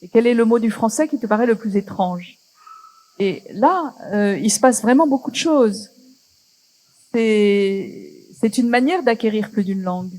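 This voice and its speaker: A woman, a guest on a festival panel, speaks in a lively storytelling way with asides. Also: medium pitch, rough recording. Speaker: philosopher guest.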